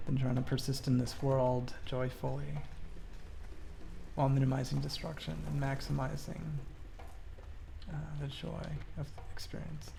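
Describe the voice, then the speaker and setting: A man giving casual livestream commentary as he plays a game, answering questions in a thoughtful, halting, reflective way. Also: mid-range voice, level tone, gravelly, noisy background. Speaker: game streamer.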